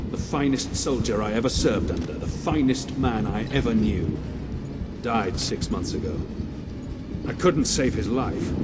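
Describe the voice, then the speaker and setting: An actor playing a bitter, self-righteous revolutionary villain in a video game, speaking in deliberate, theatrical speeches. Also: male voice, mid-range voice, very noisy, lightly acted. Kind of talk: dramatic video game cutscene acting. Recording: loud music under the voice. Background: loud game score.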